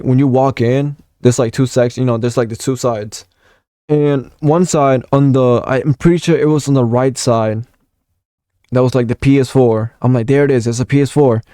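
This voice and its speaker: A man's voice: medium pitch, fairly even intonation, moderate pace, dark tone, dry recording, clean studio sound. A nineteen-year-old man from Puerto Rico, rambling through a casual gaming vlog story with lots of swearing and fillers. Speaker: gaming content creator.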